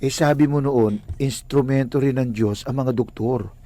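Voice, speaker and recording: A man's voice: medium pitch, moderate pace, dark tone, close to an everyday voice. An actor playing a concerned, hesitant younger male cousin in a radio drama.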